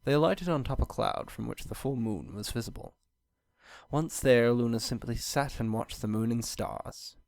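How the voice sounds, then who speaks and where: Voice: mid-range voice, expressive, moderate pace, gravelly, darkish tone, no echo. A narrator reading a scripted fan fiction story aloud.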